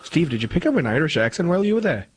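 A man hosting an interview show, introducing his guest in a polite, measured way. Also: a little high, sing-song, slight background noise. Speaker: host.

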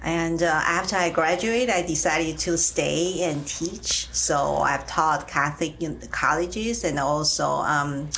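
A middle-aged woman from Taiwan with a Taiwanese accent, answering interview questions conversationally and haltingly, often pausing mid-phrase. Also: sits low, fairly bright tone, a little hiss or hum, poor audio. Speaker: interview guest, teacher. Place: radio studio.

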